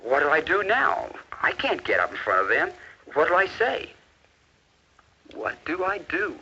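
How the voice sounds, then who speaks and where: An actor playing the anxious, self-doubting inner voice of a male high school student in a 1950s educational film, halting and incredulous. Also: big pitch swings, pushed and bright, breathy edge, full character voice. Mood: panicky. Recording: dull, noisy 1950s film soundtrack.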